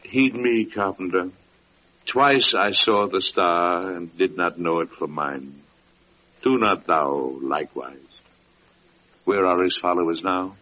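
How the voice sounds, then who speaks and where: Anguished Persian sage, old radio. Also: male voice, low voice, slow pace, bright, forceful tone, exaggerated voice. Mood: solemn. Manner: grave, theatrical, formal archaic diction. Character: anguished Persian wise man seeking a holy boy. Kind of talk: old-time radio drama acting, archaic biblical English. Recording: dull vintage radio recording.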